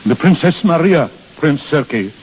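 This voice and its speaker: An actor playing an anxious, courteous duke, speaking gravely and formally in 1930s radio drama style. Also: male voice, on the high side, lively intonation, normal speed, natural voice. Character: anxious, courteous aristocratic duke hosting a dreaded guest. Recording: muffled, noisy 1930s radio broadcast.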